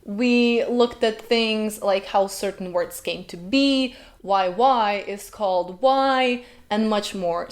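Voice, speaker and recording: A woman, a linguist hosting a casual reaction video, chatty and playful with tangents. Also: mid-range voice. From Slovenia. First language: Slovenian. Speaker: host, linguist.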